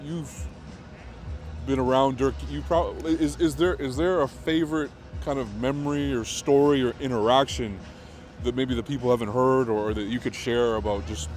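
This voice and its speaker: A man's voice: medium pitch, fairly even intonation, darkish tone. Casual male sports interviewer, music behind. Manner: halting, informal, repeats words.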